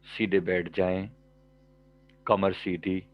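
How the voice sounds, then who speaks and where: A man with a low-pitched voice guides a meditation. He gives calm, measured instructions in short phrases, leaving pauses between them. The pace is very slow, and the recording sounds slightly dull. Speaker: meditation teacher and life coach.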